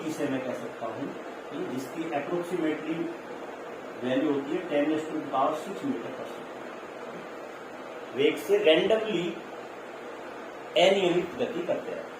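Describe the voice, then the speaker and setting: A man teaching physics in an explanatory, repetitive way, checking in as he goes and mixing in English terms. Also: fairly high pitch. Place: echoey room. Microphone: distant microphone. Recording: very echoey, distant mic, clear noise.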